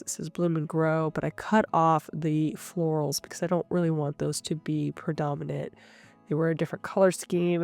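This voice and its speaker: A woman with a cold, sounding nasal and a little rough, calmly narrating her craft session as the host of her channel. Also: sits low. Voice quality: slightly rough. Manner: calm, explanatory, thinking aloud. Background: soft guitar music.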